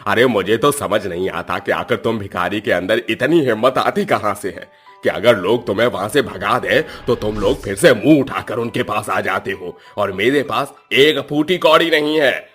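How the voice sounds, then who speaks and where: A man's voice: lowish voice, moderate pace, fairly bright tone, husky. A man acting a harsh, callous and contemptuous man in a cartoon story, scolding scornfully. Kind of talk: Hindi cartoon story dubbing. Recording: music behind the voice.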